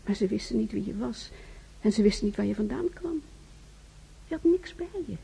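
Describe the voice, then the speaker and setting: An actress playing a worried, motherly woman in a radio play, fussing and gently scolding in a breathy, insistent way. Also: medium pitch, animated, brisk, full character voice, rough recording. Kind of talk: radio drama acting.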